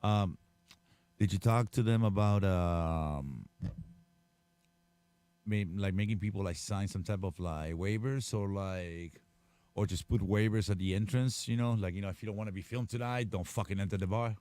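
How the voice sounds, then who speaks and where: Low-voiced man in stammering slangy chat. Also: normal speed, darkish tone, smooth, no echo. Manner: slangy, stammering, swears a lot. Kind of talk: casual chat.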